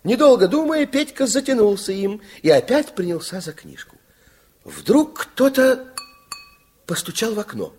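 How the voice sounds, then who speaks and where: A man narrating a radio fairy tale in an expressive, wry storytelling manner. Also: high voice, a little slow, breathy edge, soft background music.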